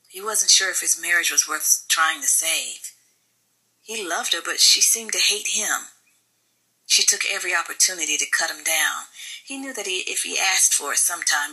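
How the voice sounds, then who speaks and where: A woman's voice: fairly low pitch, bright, forceful tone, slightly rough, low-quality recording. A woman reading scripted fiction as an audiobook narrator.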